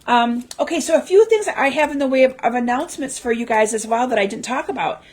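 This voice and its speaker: Slightly raspy woman, chatty live host. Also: high voice, lively intonation, quick pace, darkish tone. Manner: chatty, lots of ums and restarts. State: slightly raspy from a lingering cough. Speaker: crafting livestream host. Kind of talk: casual live-stream chat. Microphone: livestream mic.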